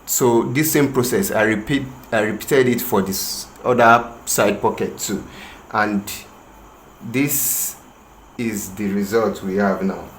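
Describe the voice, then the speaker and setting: A man from Nigeria with a Yoruba-tinged Nigerian English accent, walking through a sewing tutorial with a slow, hesitant manner full of pauses and restarts. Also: on the low side. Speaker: tailoring instructor. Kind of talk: tutorial demonstration. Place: room with a patterned rug, working on the floor. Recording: echoey room, faint ambient noise.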